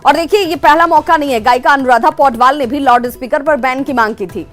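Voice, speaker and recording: Lady news anchor, really high voice, urgent delivery, bit of music behind. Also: a little fast.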